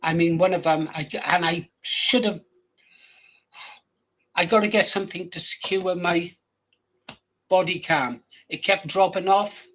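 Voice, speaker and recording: A man's voice: high voice, steady tone, unhurried, long pauses, fairly bright tone, rough recording. Blunt older Welsh-accented man. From England, raised in Wales from age ten. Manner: blunt, crude, rambling with restarts. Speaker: channel host. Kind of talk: casual rambling monologue.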